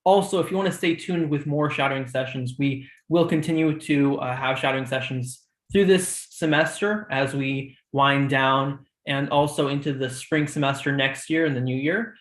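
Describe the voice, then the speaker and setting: A man's voice: mid-range voice, normal speed, a bit bright, reverberant. A young man hosting a student shadowing live stream, giving organized reminders with frequent ums. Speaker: host of a student shadowing program.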